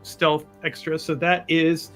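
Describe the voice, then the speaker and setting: A man's voice: on the high side, flat delivery, slow, a bit bright, soft background music. A man playing a tabletop role-playing game, commenting casually with wordy, theatrical phrasing.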